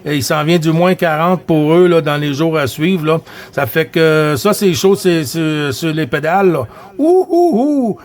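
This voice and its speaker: A man's voice: fairly high pitch, lively intonation, measured pace. A man with a Quebec French accent chatting casually and rambling as he runs a CB radio contact. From Quebec, Canada. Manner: rambling, repetitive, colloquial. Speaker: CB radio operator running a contact net.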